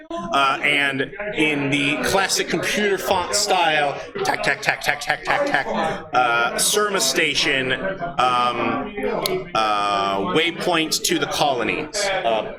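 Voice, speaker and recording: A man running a tabletop game as game master, improvising scene descriptions haltingly with frequent drawn-out uhs. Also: on the high side. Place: live show at a gaming convention. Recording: live convention stage, loud crowd.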